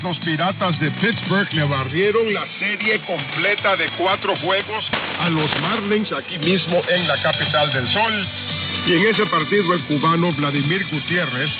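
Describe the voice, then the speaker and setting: A man reads sports news in a fairly high voice. The shortwave broadcast makes him sound dull and distant, with loud music behind him. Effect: shortwave radio broadcast. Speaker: sports newsreader.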